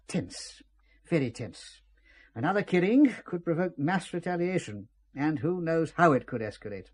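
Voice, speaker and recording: Government spymaster played by a male actor: suave, ruthless, authoritative, lively intonation, audio drama. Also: a little high. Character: suave, ruthless British government intelligence chief. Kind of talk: spy audio drama acting.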